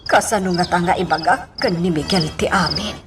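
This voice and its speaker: A woman's voice: fairly low pitch, moderate pace, over loud music, lightly acted. A woman with an Ilocano accent playing a worried, emotional mother of a grown son in a radio drama. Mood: troubled.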